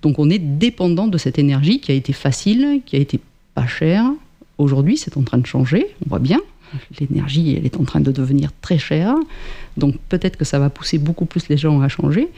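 A man's voice: fairly high pitch, lively intonation, fairly quick, gravelly, dark tone. A French-speaking man in his fifties, a guest answering interview questions about climate work, hesitant with many fillers and restarts. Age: 50s. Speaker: guest, climate association member.

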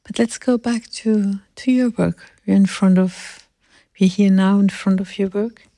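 A woman speaking English as a second language in a very mellow, relaxed voice, close and dry. They take their time over reflective talk about art, halting to find the right word. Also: medium pitch, dry recording. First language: not English. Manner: halting, searching for words. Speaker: artist in conversation.

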